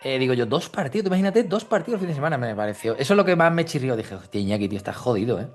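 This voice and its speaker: A man's voice: medium pitch, sing-song, rapid-fire. A man with a Spain Spanish accent hosting a casual football chat, talking informally with plenty of slang. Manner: informal, chatty, peppers talk with slang. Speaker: podcast host and interviewer.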